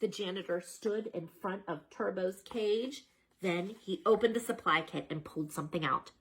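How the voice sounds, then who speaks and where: A woman reading a children's storybook aloud as a storyteller, dramatic and expressive, with chatty asides to the listeners. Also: mid-range voice, moderate pace, slightly rough, rough recording.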